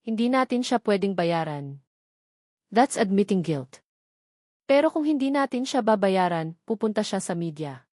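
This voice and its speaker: A woman's voice: medium pitch, sing-song, moderate pace, long pauses, a little mellow, no echo, clean studio sound, close to an everyday voice. A woman voicing a serious, analytical female aide in a narrated love story, sounding concerned and businesslike. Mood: questioning, concerned.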